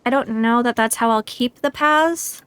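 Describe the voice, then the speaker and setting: A woman's voice: fairly high pitch, moderate pace, fairly bright tone, clear, resonant tone, no room sound. A woman game streamer chatting casually and thinking aloud in a tentative, musing way as she plays. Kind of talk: casual live gaming chat, thinking aloud. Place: indoors, livestream.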